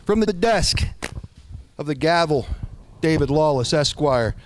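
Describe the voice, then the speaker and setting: A man's voice: fairly high pitch, expressive, unhurried, slight background noise. A man reading a pompous, formal letter aloud.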